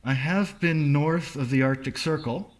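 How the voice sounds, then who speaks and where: A man chatting casually as a podcast host, telling stories in an animated way. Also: a little high, unhurried. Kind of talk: casual chat.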